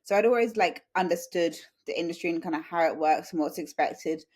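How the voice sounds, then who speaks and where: Woman, English accent, slightly low pitch, chatty, anecdotal, conversational interview. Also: fairly quick, darkish tone. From UK, small town in Suffolk. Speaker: podcast guest.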